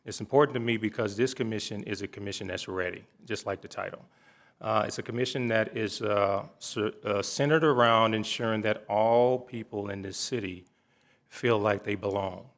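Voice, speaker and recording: A middle-aged man speaks at a city council meeting in a fairly low voice. He talks earnestly, stopping and restarting his sentences as he goes. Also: moderate pace, a bit bright. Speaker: city commission member. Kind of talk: public meeting remarks.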